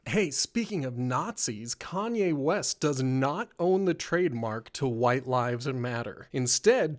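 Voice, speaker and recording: A man hosting a comedic news show, delivering scripted commentary with wry, sardonic asides. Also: on the high side.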